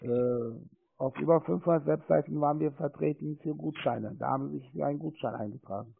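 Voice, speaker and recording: Creaky-voiced man on noisy phone. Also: on the high side, fairly quick. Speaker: telephone sales caller. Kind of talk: cold-call pitch. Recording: noisy narrowband phone line.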